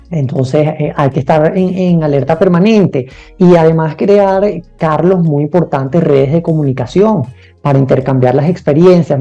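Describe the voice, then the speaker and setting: A man with a mellow, slightly higher voice, speaking as a journalist guest on an interview podcast. He comes across earnest and urgent. The sound is a little dull and lo-fi, with faint music under it. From Venezuela. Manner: earnest, urgent, politically outspoken.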